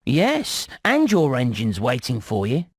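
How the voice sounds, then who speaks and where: Game role: sly haggling rat, drawling and animated, very dry sound. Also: male voice, mid-range voice, unhurried, slightly rough, no echo, exaggerated voice. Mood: smug. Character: wheeler-dealer male rat trader, smug and sly.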